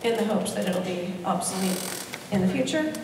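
A woman on a panel speaking in a measured way, repeating words as she thinks. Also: medium pitch, lively intonation, noisy background. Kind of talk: panel discussion. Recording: echoey hall from far off.

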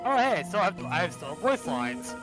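A man's voice: sits high, very animated, moderate pace. A man joking and exclaiming in a casual group reaction to a video game. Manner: jokey, exclaiming. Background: video game music.

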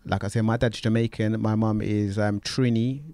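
A man, hosting a podcast in a recording studio, talking in a relaxed, rambling way. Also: lowish voice, normal speed, no room sound. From Britain. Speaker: podcast host. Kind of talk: casual conversation.

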